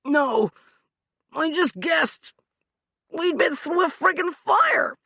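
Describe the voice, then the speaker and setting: A woman's voice: extremely high pitch, animated, slow pace, bright, forceful tone, no room sound, clean studio sound, pitched above the performer's own voice, heavily acted. A woman narrator voicing a cowardly man who whines and yelps while pinned down in the snow. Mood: yelping. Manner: whining, pleading, yelping.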